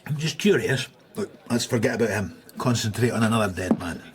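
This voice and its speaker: A man's voice: fairly low pitch, animated, brisk, natural voice. A man playing a stubborn, sardonic maverick Scottish police detective in a radio drama. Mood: wry. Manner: dry, sardonic, evasive.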